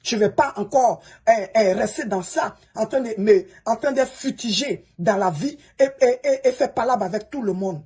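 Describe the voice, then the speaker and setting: A woman's voice: on the high side, expressive, normal speed, hardly any pauses, mellow, husky. A woman, a social media coach who is an English speaker, giving a pleading, preachy advice monologue and repeating the listener's name. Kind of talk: advice monologue to a fellow creator.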